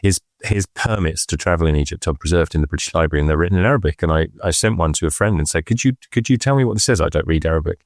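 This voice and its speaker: A man's voice: deep voice, rapid-fire, hardly any pauses, no echo, clean studio sound. A man casually explaining things as an expert podcast presenter, hesitant and often circling back to correct himself.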